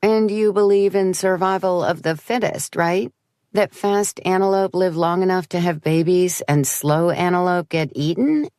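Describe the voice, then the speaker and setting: An exasperated teenage girl played by a woman narrator argues insistently, her pitch lifted. It is an audiobook character in a dry, close recording. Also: normal speed, darkish tone, clean tone, dry recording, natural voice. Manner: insistent, pressing. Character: argumentative, exasperated sixteen-year-old girl.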